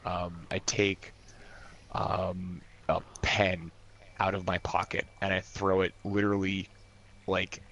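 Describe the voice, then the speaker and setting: A man with a low voice talks as a player in a tabletop role-playing game. His delivery is casual, like chat among players. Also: little pitch movement, slow pace, a bit bright.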